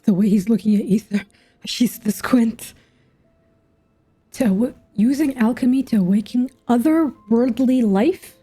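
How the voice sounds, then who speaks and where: A woman's voice: a little high, a little slow, slightly rough. A woman giving casual playthrough commentary on a game, musing aloud and asking questions as she goes. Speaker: game streamer reacting to a story quest. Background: soft game music.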